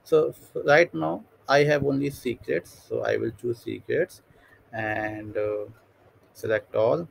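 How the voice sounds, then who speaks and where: A man walks through a screen-recorded software tutorial, speaking step by step and often restarting his sentences. His voice has a gravelly edge at a mid pitch. A faint hiss sits under the recording. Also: slow. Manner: thinking aloud, stepwise, with frequent fillers and restarts. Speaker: tutorial instructor.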